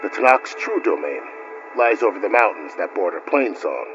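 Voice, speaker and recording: Man playing a deep-voiced, weary frontier officer. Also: animated, moderate pace, close to an everyday voice. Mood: grave, concerned. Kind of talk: naturalistic game dialogue. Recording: dull game audio with music.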